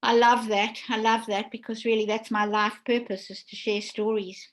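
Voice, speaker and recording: A woman's voice: on the high side, flat delivery, normal speed. A woman hosting an online panel discussion in a casual, effusive way, as a theatre producer and director would. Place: indoors, online video call.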